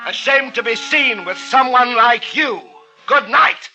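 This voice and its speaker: An actor playing a cold, vain middle-aged poisoner, who pleads softly and then gloats cruelly, in a 1940s radio drama. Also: male voice, very high voice, a little slow, soft background music, exaggerated voice. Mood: gloating. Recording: muffled old radio recording with faint hiss.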